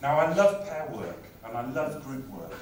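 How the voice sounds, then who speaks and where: A man giving a conference talk on language teaching, speaking in a lively, conversational way. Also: fairly high pitch, normal speed, slight background noise. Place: conference hall. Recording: very echoey hall, far from mic.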